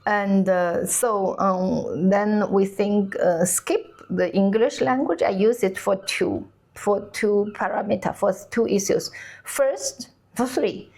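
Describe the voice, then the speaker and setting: A woman whose first language is Chinese, a cook co-hosting a casual chat, talking haltingly as she searches for the right English words. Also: medium pitch, vocal fry, darkish tone. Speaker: cook and channel co-host. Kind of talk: casual conversation.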